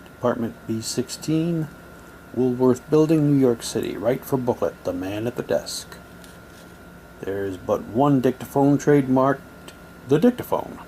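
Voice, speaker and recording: Guy reading stuff out loud for an unboxing, kinda halting, mutters little asides, some noise behind him. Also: medium pitch, rough recording. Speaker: unboxing presenter. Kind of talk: casual unboxing, reading old newspaper props aloud.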